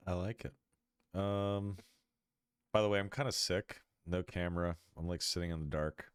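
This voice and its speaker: A man's voice: deep voice, fairly even intonation, moderate pace, clear, resonant tone, no echo, clean studio sound. A man with a stuffy nose from a cold hosts a casual chat before a tabletop game, laid-back and joking. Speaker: host. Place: dark room at home.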